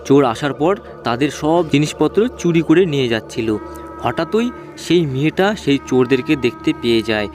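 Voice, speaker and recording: A man's voice: medium pitch, expressive, moderate pace, a little mellow. A man narrating a ghost story for a cartoon in simple, informal, repetitive spoken language. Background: background music.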